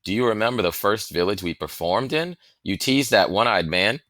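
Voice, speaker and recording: A man's voice: on the low side, lively intonation, normal speed, a bit bright, no room sound, studio quality, pitched above the performer's own voice. A man voicing an earnest, anxious young boy with an urgent, slightly timid manner in a storybook reading. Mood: worried, urgent. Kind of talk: storybook character voice in audiobook reading.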